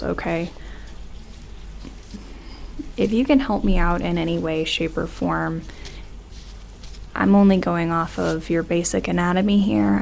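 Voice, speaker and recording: Slow, hushed sci-fi medic played by a woman. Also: fairly low pitch, gravelly, slight background noise, full character voice. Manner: hushed, hesitant, reassuring, informal. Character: gentle, respectful female sci-fi colony medic examining an alien. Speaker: ASMR roleplay performer. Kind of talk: soft-spoken ASMR roleplay.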